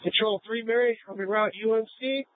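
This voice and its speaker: A high-voiced male police officer speaks in emergency radio dispatches. The sound is tinny and narrow, squeezed through a police scanner. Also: steady tone, fairly quick, slight background noise.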